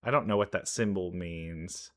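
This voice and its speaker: A man's voice: deep voice, normal speed. A man giving casual game trailer commentary as a reaction host, thinking aloud in an enthusiastic, rambling way. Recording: music behind the voice.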